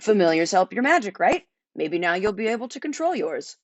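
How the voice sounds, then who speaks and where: A woman's voice: mid-range voice, sing-song, a little fast, no echo. A woman voicing a proud, superior boy wizard who hides his disappointment, in a children's storybook. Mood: forced encouragement. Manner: superior, forced enthusiasm.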